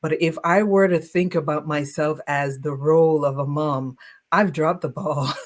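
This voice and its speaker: A woman's voice: low-pitched, a little slow. A woman guest in a faith-based talk show, encouraging and laughing often as she speaks in affirmations. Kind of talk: casual faith-based conversation.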